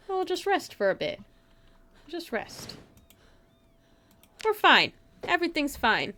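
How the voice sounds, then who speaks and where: A woman giving excitable live gameplay commentary, talking to herself and to her viewers. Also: mid-range voice, slight background noise. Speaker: game streamer. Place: indoors, streaming at a computer.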